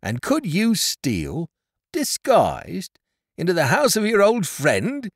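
An honest carrier in a Victorian storybook as a male narrator plays him, hurt and indignant. He reproaches with wide swings of pitch. The recording is dry. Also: huge pitch swings, measured pace, dry recording.

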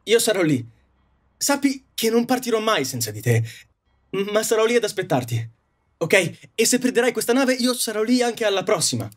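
Audio drama young suitor, male actor, earnest and awkward, husky, wide-swinging pitch, halting delivery. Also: big pitch swings. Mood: earnest, hopeful. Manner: stammering, halting. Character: awkward, earnest young man next door in love.

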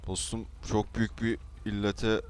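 Male gamer, low voice, pushy improvised role-play banter, noisy game voice chat. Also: level tone, unhurried, a little mellow. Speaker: YouTuber role-playing in an online game.

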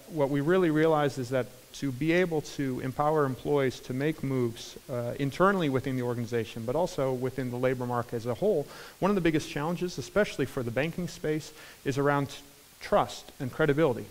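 A man in his forties with an American accent gives an energetic conference talk on stage, with frequent fillers and restarts. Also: mid-range voice, fairly quick. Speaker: conference speaker. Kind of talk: keynote talk. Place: large conference hall stage. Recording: hall reverb from a large room.

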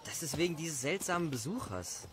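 Casting: actor as easygoing village dad, fairly high animated voice, casual, a bit defensive, faint noise. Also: male voice, brisk, close to an everyday voice. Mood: skeptical. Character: easygoing young village father and protector.

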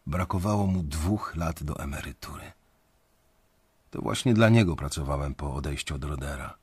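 An actor playing an anxious young banker who narrates his own story in a confiding, measured, dramatic way in an audio drama. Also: rumbling low, darkish tone, breathy edge, exaggerated voice. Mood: reflective, uneasy. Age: young adult.

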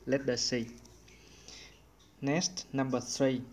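A man teaching an online English lesson, working through exercises in a slow, methodical way. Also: medium pitch, flat delivery, darkish tone.

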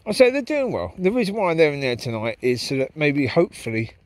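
Guy rambling on a night walk in the park, bit high voice, bounces up and down a lot. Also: big pitch swings, a little fast. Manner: rambling, reflective, calls out loudly to his dogs. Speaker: vlogger walking his dogs. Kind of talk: casual walk-and-talk monologue. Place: park path at night, outdoors. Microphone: handheld camera while walking.

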